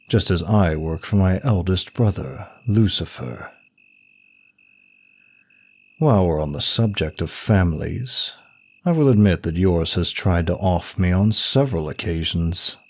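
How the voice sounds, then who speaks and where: A demon prince of lust played by a man speaks in a low voice, taking his time over each phrase. He is suave, smug and taunting, an arrogant seducer in an intimate audio roleplay. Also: a little mellow, husky, full character voice. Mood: haughty. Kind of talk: intimate ASMR roleplay monologue.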